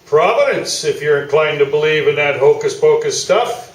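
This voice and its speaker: Guy doing a windy old-time sportswriter, pompous, high voice, echoey stage recording. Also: expressive, moderate pace, lightly acted. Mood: grandiose. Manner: pompous, orating. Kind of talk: live stage radio play. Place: small theatre, live performance. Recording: roomy stage recording from far off.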